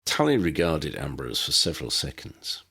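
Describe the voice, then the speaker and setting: A man narrates a work of fiction from a script in a low voice with a gravelly edge. His intonation is animated. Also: moderate pace. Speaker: audiobook narrator.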